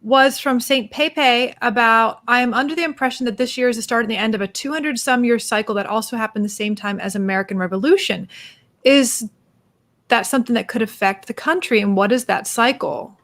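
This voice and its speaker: An upbeat woman with a fairly high voice hosts a live online forum over a video call. She thanks viewers and reads their questions aloud to her guest. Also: fairly quick, a bit bright. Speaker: event host.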